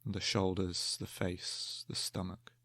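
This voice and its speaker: Low, gravelly male meditation guide. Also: no room sound. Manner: calm, gentle, measured with long pauses. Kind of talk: guided meditation, slow spoken instruction.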